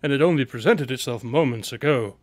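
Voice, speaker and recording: A man's voice: medium pitch, a little fast, dry recording, close to an everyday voice. A man playing a confident, articulate detective in a radio drama, formal, precise and coolly superior. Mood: assured.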